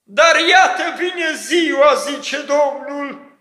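A man giving an ecstatic prophecy in a church, chanting and shouting, mixing speech with repeated sung refrains in tongues. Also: very high voice, echoey room. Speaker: prophesying preacher.

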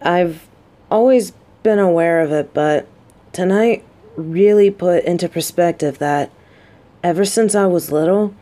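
A woman's voice: lowish voice, a little slow, dark tone, natural voice. A woman playing a guarded, sarcastic but secretly vulnerable lieutenant and bodyguard in an intimate audio roleplay. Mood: vulnerable, softening. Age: adult. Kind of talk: scripted roleplay audio drama, addressed to listener.

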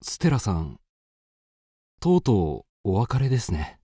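A man plays a courteous gentleman traveller in a radio drama, earnest and poetic. His mellow voice moves expressively up and down, wistful and persuasive, in a dry close sound. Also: on the low side, big pitch swings, measured pace, breathy edge, no room sound, full character voice.

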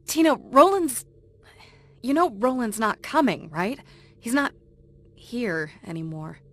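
A woman voicing a level-headed, gently exasperated young adventurer in a video game, patient and reasoning. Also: fairly high pitch, animated, slow, exaggerated voice. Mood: gentle, concerned.

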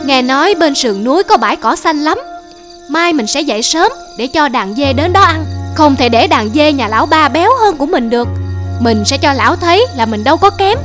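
High-pitched, envious goatherd. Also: female voice, expressive, brisk, fairly bright tone. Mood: envious, stubborn. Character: envious, greedy, stubborn male goatherd. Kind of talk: dramatic cartoon dubbing. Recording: music behind the voice.

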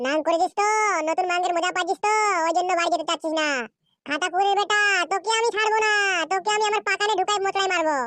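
A high-voiced performer playing furious scorned wives in a Bengali comedy cartoon, ranting and scolding in a shrill, pitched-up childlike voice. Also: fairly even intonation, very fast, fairly bright tone, no echo. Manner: scolding, foul-mouthed, ranting.

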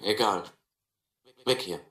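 A restless, carefree young vagabond in a radio drama. His voice is bright and forceful, and the recording is roomy with a little noise. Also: lowish voice, takes it slowly. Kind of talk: German radio drama acting.

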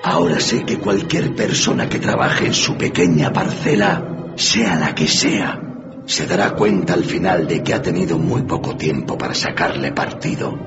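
An actor playing the tormented, remorseful ghost of a dead businessman in a radio drama, solemn and lamenting with drawn-out emphasis. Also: deep voice, natural voice. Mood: mournful warning. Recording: dull muffled recording under loud music.

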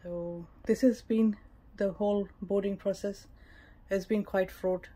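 A woman's voice: medium pitch, fairly even intonation, measured pace, mellow, rough recording. A woman travel vlogger giving a casual, matter-of-fact tour narration of a small cabin. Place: mostly a small cruise ship cabin. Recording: clear background ambient noise.

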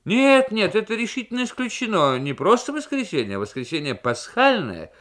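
Man putting on fussy, high cockerel-voiced official. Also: big pitch swings, normal speed, fairly bright tone. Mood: agitated, protesting. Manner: protesting, emphatic. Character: fussy, cockerel-voiced male German official, agitated on telephone.

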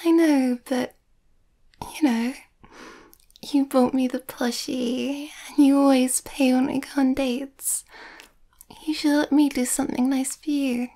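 A woman playing a shy, sweet young werewolf girlfriend in an intimate ASMR roleplay, bashful and hesitant, with a soft, breathy, often whispery voice. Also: high-pitched, steady tone, measured pace. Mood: bashful, tender.

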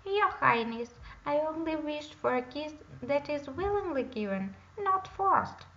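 A woman doing a polite croaking bullfrog. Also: high voice, expressive, measured pace, a bit bright, muffled recording, a little hiss or hum, voice pushed up, exaggerated voice. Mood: gentle. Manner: courteous, formal. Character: polite gentle male bullfrog who croaks "ribbit".

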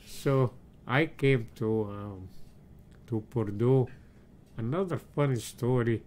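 Slow, halting older man. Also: medium pitch, a little mellow, a little hiss or hum. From Egypt. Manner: slow, halting storytelling with many pauses and fillers. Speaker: interviewee, retired engineering professor. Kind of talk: oral history interview. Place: his home.

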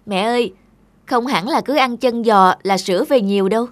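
A woman's voice: on the high side, normal speed, no room sound, lightly acted. A woman playing an anxious, exasperated young new mother in a cartoon, plaintive and scolding. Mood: gently chiding. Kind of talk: cartoon dialogue.

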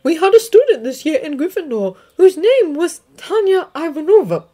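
A woman's voice: very animated, mellow. Woman putting on a stern older professor. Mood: somber. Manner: low, somber and measured. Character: stern older female professor, grave and firm.

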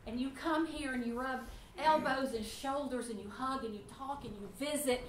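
A woman in her fifties, loud and animated, teaching a church class to a seated group. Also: high-pitched, normal speed. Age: 50s. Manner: loud, animated, chatty with the room. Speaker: guest Bible class teacher. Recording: roomy echo, faint room noise.

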